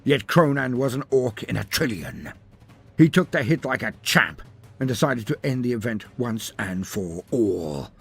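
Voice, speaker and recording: A man's voice: mid-range voice, very animated, measured pace, husky. A man narrating a scripted fantasy tale in a theatrical, florid and wry manner. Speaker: storyteller narrator.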